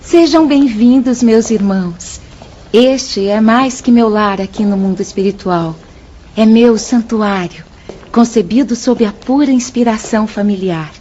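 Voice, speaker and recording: An actress playing a gentle, devoted young female spirit in a radio drama, with a Brazilian accent. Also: fairly high pitch, unhurried, close to an everyday voice. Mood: welcoming. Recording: muffled audio, faint background noise.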